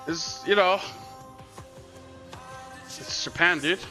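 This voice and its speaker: A man's voice: high-pitched, takes it slowly, sharp tone, soft background music. A man co-streaming a match broadcast, chatting casually, swearing and joking between laughs. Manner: profane, joking, laughs often. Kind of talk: casual chat over a broadcast.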